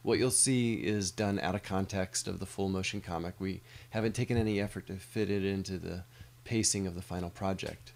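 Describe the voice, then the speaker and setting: A man's voice: low voice, fairly even intonation, brisk, vocal fry, darkish tone. A middle-aged American man, an animator, giving a scripted presentation of a project.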